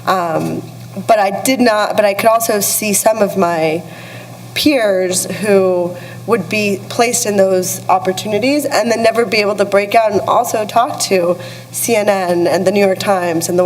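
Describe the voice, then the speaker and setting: A woman from Texas speaking as a panelist at a live event, reflective and pausing often to restart her thoughts. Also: mid-range voice, a little hiss or hum, rough recording. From El Paso, Texas. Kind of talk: panel discussion.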